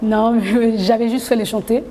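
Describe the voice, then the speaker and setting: A woman from Congo-Brazzaville, chatting as an interviewed singer with hesitant, halting phrasing. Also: on the high side, fairly even intonation, a crowd in the background. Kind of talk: casual interview chat. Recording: reverberant hall, background noise.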